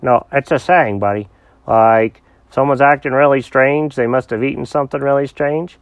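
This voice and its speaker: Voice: mid-range voice, fairly even intonation, moderate pace, dry recording, lightly acted. A storyteller voicing a patient, sensible male animal companion in a soothing but exasperated manner. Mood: bemused. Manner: calls the other buddy, soothing and exasperated.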